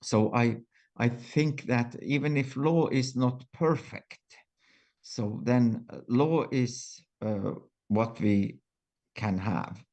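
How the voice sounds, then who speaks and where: Slow gravelly older man, halting. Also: mid-range voice, darkish tone. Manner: halting, many fillers and restarts. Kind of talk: reflective interview answers.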